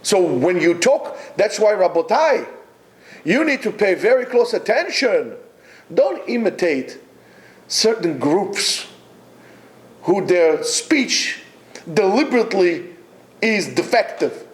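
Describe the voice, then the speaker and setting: A male yeshiva teacher lectures his students in an exhorting, emphatic way, stopping often between phrases. His voice sits fairly high and swings widely in pitch. He takes his time and speaks slowly. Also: sing-song, rough recording. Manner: emphatic, exhorting, with many pauses.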